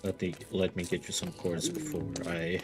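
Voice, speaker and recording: Male streamer with a low voice, deadpan humor, casual game talk over background music. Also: normal speed. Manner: dry, joking, laughs often. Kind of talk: casual gaming chat. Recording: music playing behind.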